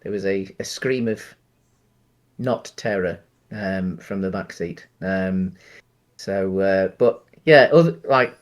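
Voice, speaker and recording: A man's voice: sits low, slow. A man, chatting casually as a podcast co-host and thinking aloud in a rambling, hesitant way. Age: late 30s. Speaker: podcast co-host reviewing films. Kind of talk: casual chat.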